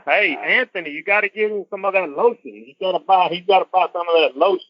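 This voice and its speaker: Guy with a highish voice, teasing buddy chat, muffled dry phone sound. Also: quick pace, no echo. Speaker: testimonial guest on a call. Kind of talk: casual joking chat. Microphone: telephone. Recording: dull, dry telephone line.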